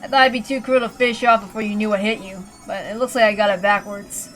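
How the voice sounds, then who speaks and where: A woman voicing a villainous uncle in a visual novel, casual and calm, with a mockingly kind manner. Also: medium pitch, fast, soft background music, natural voice. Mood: cold, casual. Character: cold, joking, villainous uncle with a gun. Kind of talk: dramatic visual novel character reading.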